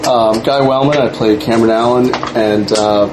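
A man's voice: mid-range voice, fairly even intonation, moderate pace. A man being interviewed, telling a casual anecdote and acting out the people he quotes. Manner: acts out quoted exchanges. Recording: noisy room with background hum.

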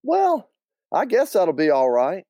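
Man putting on a swooping, high-voiced small-town Southern gal. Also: huge pitch swings, slow pace, mellow, no room sound, studio quality, heavily acted. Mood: bashful. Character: small-town Southern young woman, spirited and exasperated.

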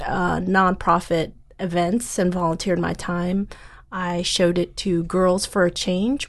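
A woman's voice: on the low side, vocal fry. A woman startup founder answering interview questions in an earnest, step-by-step way.

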